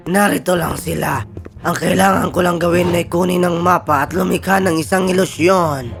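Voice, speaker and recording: A man voicing a gloating, scheming evil wizard in a fairy-tale cartoon, with a gravelly, taunting and theatrical delivery. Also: high voice, steady tone, moderate pace, music in the background. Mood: mocking, gloating.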